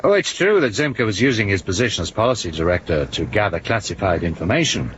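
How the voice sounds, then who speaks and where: An actor playing a blunt, sceptical police inspector who probes and challenges in a radio drama. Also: male voice, on the low side, lively intonation, fast, dull audio, noisy background, close to an everyday voice. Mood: accusing, insistent. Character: dogged, suspicious police inspector confronting a secret service man.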